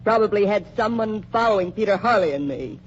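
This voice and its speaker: A woman's voice: medium pitch, expressive, a little fast, a little hiss or hum, natural voice. An actress playing a frightened but defiant young woman spy in 1940s radio acting style. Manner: defiant and breathless. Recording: muffled 1940s radio recording.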